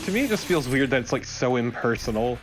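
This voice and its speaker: A man's voice: mid-range voice, big pitch swings, fast talker, background noise. A man chatting casually as co-commentator on a let's play. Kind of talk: casual banter over game. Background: game music.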